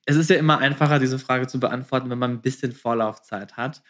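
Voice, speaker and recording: A man's voice: medium pitch, fairly even intonation, fast talker, fairly bright tone. A man hosting a casual interview, speaking informally and mixing in English words. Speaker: podcast host, interviewer.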